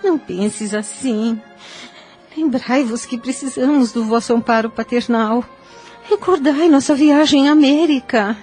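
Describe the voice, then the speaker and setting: A woman playing a devout, sorrowful mother who pleads gently and formally in an audio novel. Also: high-pitched, unhurried, faint music behind. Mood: pleading. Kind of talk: religious audio novel acting.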